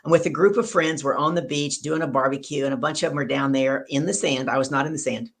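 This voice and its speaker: A woman's voice: rumbling low, fast. A woman hosting an online show, chatty and upbeat while telling stories. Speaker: host. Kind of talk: casual chat and storytelling.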